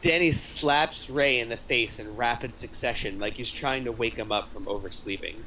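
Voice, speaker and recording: Bright-voiced man narrating a screenplay. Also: mid-range voice, normal speed, slight background noise, rough recording. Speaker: narrator reading stage directions.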